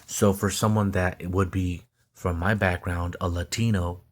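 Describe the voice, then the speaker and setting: Flat, low-voiced man. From Mexican American. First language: Spanish. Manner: halting, repeats words and restarts. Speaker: video blogger. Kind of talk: reading a text aloud and commenting.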